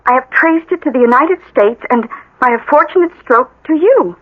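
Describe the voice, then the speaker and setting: Seeking an excitable small-town housewife, very high voice, fluttery and polite, vintage radio drama. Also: female voice, normal speed. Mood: curious, excited. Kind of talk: 1980 radio drama acting. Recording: dull vintage radio broadcast.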